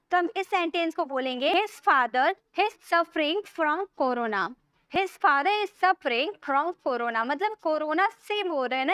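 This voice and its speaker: A woman teaching English grammar in an online lesson. She explains in a repetitive way, often checks that her students follow, and says English example sentences slowly as if dictating. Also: very high-pitched, a bit bright, no echo, studio quality. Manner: repetitive, checks understanding with frequent questions.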